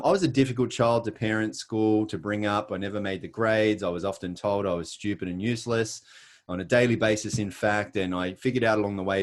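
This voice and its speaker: A middle-aged man with an Australian accent, speaking in a lowish voice. He tells his story earnestly and emphatically, lightening it with self-deprecating jokes, over a video call. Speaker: presenter. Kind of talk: scripted motivational presentation.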